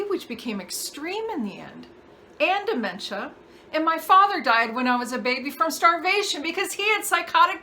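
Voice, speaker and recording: Casting: actress as bitter, guilt-laden mother in a stage-style monologue, bright higher voice, halting delivery, noisy home recording. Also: heavily acted. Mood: bitter, pained. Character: bitter, guilt-ridden mother burdened by a troubled family. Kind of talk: dramatic acting monologue.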